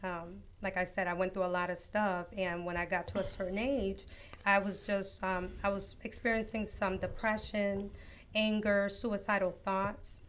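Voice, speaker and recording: A woman from Costa Rica, a guest author on an interview show, telling her life story in an earnest, reflective, unhurried way. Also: mid-range voice, flat delivery, slight background noise. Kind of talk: personal testimony in an interview.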